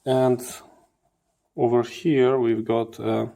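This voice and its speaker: A man giving hands-on teardown commentary as a channel host, in halting phrases with repeated words. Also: medium pitch, level tone, creaky, dark tone. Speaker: host of a radio electronics channel.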